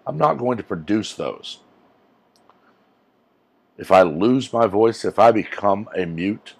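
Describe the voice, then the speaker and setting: A man's voice: low voice, darkish tone. A man in his forties, a YouTuber, rambling earnestly in a casual rant to camera from a small room on a lapel mic. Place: small room with green backdrop, indoors.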